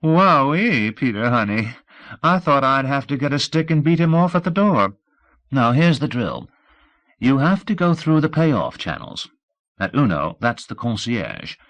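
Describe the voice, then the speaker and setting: A man's voice: medium pitch, big pitch swings, unhurried, a bit bright, muffled recording, voice pushed up. A man voicing a brassy, scheming woman in an audiobook, with a breezy, flirty, slangy way of talking. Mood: excited, bossy.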